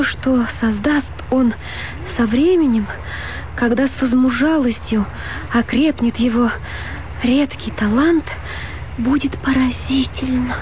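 An actress playing a dreamy, enraptured young married woman in a radio drama, with halting, theatrical delivery. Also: high-pitched, a little mellow. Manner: breathy pauses, theatrical, halting and rapturous delivery. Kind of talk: Russian radio drama acting. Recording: music bed under the voice.

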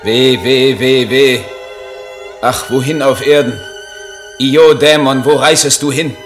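An actor playing a tormented, proud, half-mad poet in a radio drama, with solemn theatrical declamation. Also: male voice, medium pitch, fairly even intonation, slow, over music, close to an everyday voice. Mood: anguished, wailing.

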